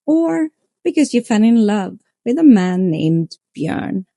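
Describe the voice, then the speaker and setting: A woman narrating a language lesson in a slow, measured way. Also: mid-range voice, big pitch swings, vocal fry, mellow, dry recording, studio quality.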